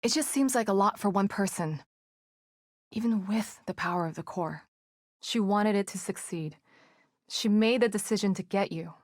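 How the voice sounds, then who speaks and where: A woman's voice: medium pitch, normal speed, no echo, studio quality, lightly acted. An actress playing an earnest female team psychologist in a video game, speaking in an articulate, analytical way. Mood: composed, serious.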